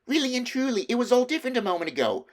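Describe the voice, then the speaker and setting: Man voicing an earnest, high-pitched excitable little girl. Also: very fast. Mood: excited, insistent. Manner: pleading, emphatic. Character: earnest, excitable young girl insisting she tells the truth. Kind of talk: children's storybook reading.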